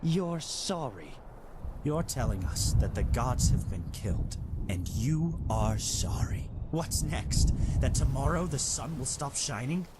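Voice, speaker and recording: An actor playing a shaken novice of faith in a fantasy game, incredulous and halting. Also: male voice, mid-range voice, animated, heavy background noise.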